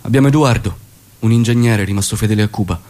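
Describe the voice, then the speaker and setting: An actor as a resolute revolutionary doctor. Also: male voice, fairly low pitch, fast, a little mellow, lightly acted. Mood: determined. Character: Che, resolute revolutionary doctor and loving husband. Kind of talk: theatrical radio drama dialogue.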